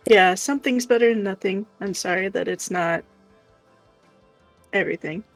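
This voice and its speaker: A woman's voice: mid-range voice, moderate pace. A woman co-hosting a livestream, chatting casually and answering viewers. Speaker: livestream co-host. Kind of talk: casual chat, reading out viewer comments. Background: music.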